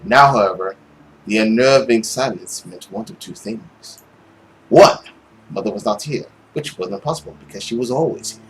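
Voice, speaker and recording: A young adult man narrating a visual novel aloud as a let's play host, in an expressive, dramatic way. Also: mid-range voice, normal speed, faint music behind, poor audio. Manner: animated, dramatic reading. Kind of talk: expressive story narration with casual commentary.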